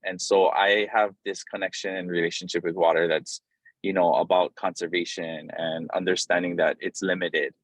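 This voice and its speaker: A man from Hawaii speaking as a guest on a video call from an airport, loose and informal with many pauses. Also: sits low, level tone, no room sound. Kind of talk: casual talk on a video call. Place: airport, in transit.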